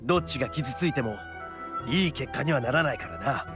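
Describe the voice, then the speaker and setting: A man's voice: mid-range voice, animated, brisk, music in the background, natural voice. Man as a brash oni gang boss. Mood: reluctant, earnest. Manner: boastful, blunt street-tough slang. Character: brash, big-hearted young male oni gang boss. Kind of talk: Japanese anime game voice acting.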